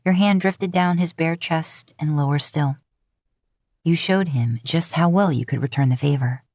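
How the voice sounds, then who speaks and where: A woman narrates a romantic story from a script, expressive and intimate. Her voice is low for a woman and a bit husky. The recording is dry, with no echo. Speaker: podfic narrator.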